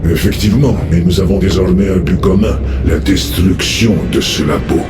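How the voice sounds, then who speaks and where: A grave mutated alien warrior, acted by a man with a low voice. He speaks solemnly, as if warning, in an echoing game cinematic with music behind. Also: fairly even intonation, moderate pace, lightly acted. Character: grave mutated alien ex-enemy turned ally, brooding. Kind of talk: dubbed video game cinematic acting.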